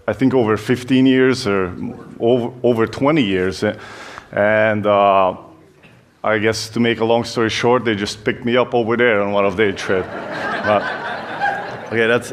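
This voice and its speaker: A young man from Hungary speaks with a Hungarian accent, pitched a little low. He tells his story to a church crowd in a loose, joking way, pausing on fillers and restarting lines. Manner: chatty, self-deprecating, many fillers. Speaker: youth pastor. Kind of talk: church talk, personal story.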